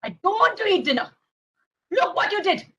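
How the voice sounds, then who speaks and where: A woman playing an excitable, stubborn little boy in a children's story, whiny and exclaiming, repeating phrases. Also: extremely high pitch, takes it slowly, voice pushed up. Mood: angry tantrum.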